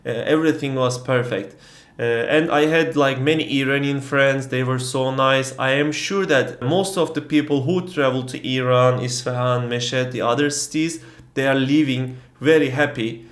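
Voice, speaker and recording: A man's voice: mid-range voice, steady tone, normal speed, roomy sound. A young man from Turkey, speaking English with a Turkish accent as a non-native speaker, telling a travel story in a casual vlog with lots of fillers. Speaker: travel vlogger.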